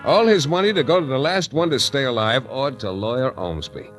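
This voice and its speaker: An actor playing a tough, wry private detective in slangy hard-boiled 1940s radio style. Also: male voice, mid-range voice, animated, fairly quick, a bit bright, close to an everyday voice. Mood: brooding narration. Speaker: lead actor and narrator. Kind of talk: 1940s radio detective acting with first-person narration. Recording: 1940s radio recording, music behind.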